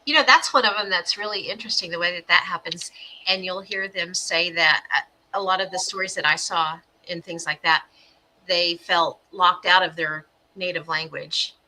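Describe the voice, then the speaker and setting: A woman with a lowish, very bright and sharp voice co-hosting a podcast over a video call. She chats loosely and trails off into restarts. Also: moderate pace, slight background noise, low-quality recording. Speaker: podcast co-host. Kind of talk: casual podcast discussion.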